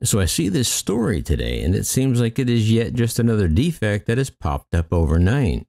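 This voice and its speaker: Guy narrating airline news off a script, dark mellow voice, pretty lively delivery. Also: on the low side, normal speed, creaky. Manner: reads news reports aloud with occasional asides. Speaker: aviation channel narrator. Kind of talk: scripted news narration read over footage.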